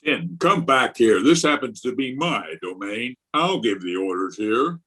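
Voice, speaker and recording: Middle-aged blustering school principal for radio comedy male actor: pompous, exasperated, very animated intonation. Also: medium pitch, measured pace, husky, full character voice. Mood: blustering, outraged. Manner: pompous, indignant, theatrical. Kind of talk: old-time radio comedy acting. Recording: remote video-call recording.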